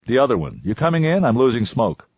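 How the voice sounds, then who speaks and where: A dry, wisecracking writer trades deadpan jabs in a slightly raised, husky voice, as a male narrator reads him. the sound is close and dry, and the recording is a bit dull. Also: mid-range voice, fast, dry recording, pitched above the performer's own voice.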